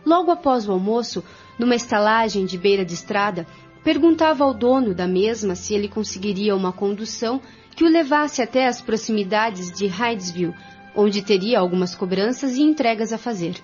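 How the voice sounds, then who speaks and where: A woman's voice: mid-range voice, animated, normal speed, a little mellow. A woman reading scripted narration for a radio drama. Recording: dull lo-fi audio over music.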